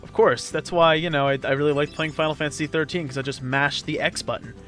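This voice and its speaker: A man's voice: fairly high pitch, steady tone, quick pace, fairly bright tone, soft background music. A man giving casual, chatty, joking gameplay commentary in a rambling way, as on a let's play.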